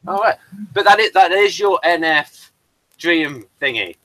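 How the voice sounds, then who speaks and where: A man's voice: a little high, expressive, measured pace, sharp tone, dry recording, low-quality recording. Rambling man full of fillers. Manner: rambling, many fillers and restarts. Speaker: playwright showing his work. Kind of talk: casual chat on a video call.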